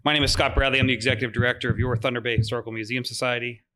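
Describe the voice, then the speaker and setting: A man with a bright, clear-edged voice opens an evening lecture at a museum. He runs through housekeeping and introductions in a friendly, informal way, with frequent filler words. He speaks into a mic in a lecture room. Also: mid-range voice, level tone, rapid-fire. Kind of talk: public event introduction. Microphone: mic over room speakers.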